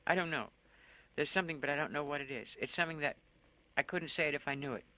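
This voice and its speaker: A man's voice: fast talker, bright, forceful tone. A man voicing a desperate, lonely woman who pleads and raves with a rising voice. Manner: raving, pleading, voice rising high.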